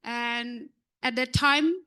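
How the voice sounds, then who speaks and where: A woman from Indonesia with an Indonesian accent, presenting her research at a conference, pausing often with fillers. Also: a little high, fairly even intonation, pushed and bright, clean tone.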